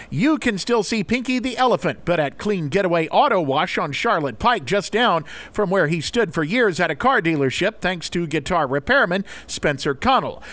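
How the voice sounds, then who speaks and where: This is a man anchoring a radio newscast. His voice sits high for a man. He reads prepared news copy in bulletin style. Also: a bit bright, dry recording. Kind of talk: scripted radio news reading.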